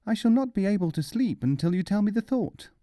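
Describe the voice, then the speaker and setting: Man putting on a high-voiced, curious wife. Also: animated, a little fast, mellow, no room sound, studio quality. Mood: restless. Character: sleepy, curious wife of the mullah.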